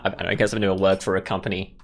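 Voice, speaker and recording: A man's voice: low voice, monotone, very fast, background noise. A man hosting a visual novel playthrough, reading aloud and commenting in a casual, reflective, tentative way. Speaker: gaming video host. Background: faint music.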